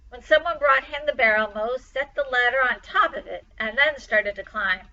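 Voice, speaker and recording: Woman doing storytime, bright highish voice, dramatic reading, slightly muffled audio. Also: normal speed. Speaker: storytime reader. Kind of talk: expressive children's story read aloud.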